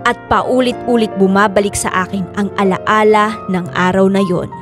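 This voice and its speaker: An actress with a Filipino accent playing a remorseful young woman who narrates her confession in a measured, sorrowful way for a radio drama. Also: mid-range voice, steady tone, close to an everyday voice. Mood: troubled. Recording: piano music behind the voice.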